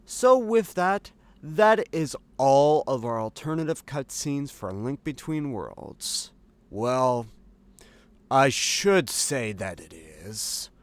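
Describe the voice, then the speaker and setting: A man's voice: medium pitch, very animated, takes it slowly, no echo. A man giving casual, chatty let's play commentary in an informal, amused way as host of a video game series. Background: video game music.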